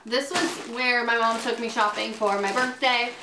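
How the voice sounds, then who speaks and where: A fairly high-voiced young woman talks through a casual haul video, upbeat and chatty with plenty of "like" and "um". She is still getting over a cold. Her voice rings a little in an echoey small bedroom. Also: steady tone, moderate pace, noisy background. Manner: chatty, upbeat, lots of fillers and "like". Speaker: fashion vlogger. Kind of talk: casual haul vlog to camera.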